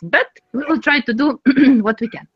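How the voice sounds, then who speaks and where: A woman's voice: high voice, normal speed, soft background music. Woman hosting a club over a dull call. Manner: friendly, laughs often, fillers. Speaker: speaking club host. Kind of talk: casual chat, leading discussion. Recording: dry, dull lo-fi audio.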